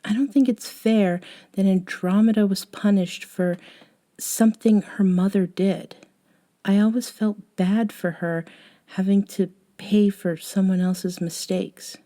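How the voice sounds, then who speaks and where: A woman playing a gentle, caring young woman with a wistful streak in an intimate ASMR roleplay, speaking softly and hesitantly and often dropping toward a whisper. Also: mid-range voice, steady tone, a little slow, close to an everyday voice. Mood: soft, thoughtful.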